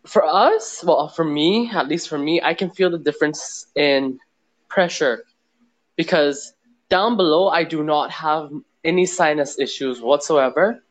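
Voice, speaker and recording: A man's voice: a little high, measured pace, low-quality recording. Man, caller, sore throat, sinus congestion, rambling talker. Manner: rambling, roundabout explaining. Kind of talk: casual chat.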